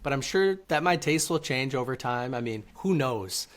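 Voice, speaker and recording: A man's voice: mid-range voice, lively intonation, normal speed. A man speaking as an interview guest, answering conversationally and explaining things step by step. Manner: explains step by step with numbers and examples.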